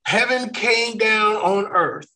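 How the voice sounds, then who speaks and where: A man's voice: high voice, bright, forceful tone. A man from California, hosting a faith discussion, talking earnestly with many restarts. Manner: slow, earnest, with frequent restarts. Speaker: ministry discussion host.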